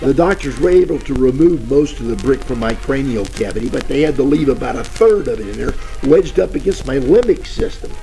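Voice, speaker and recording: An actor playing a bumbling, boastful private detective in a parody of 1940s radio drama, telling rambling stories in hammy slang. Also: male voice, medium pitch, lively intonation, fairly quick, gravelly, few pauses, warm tone, rough recording. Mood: aggrieved. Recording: old-time radio with music behind.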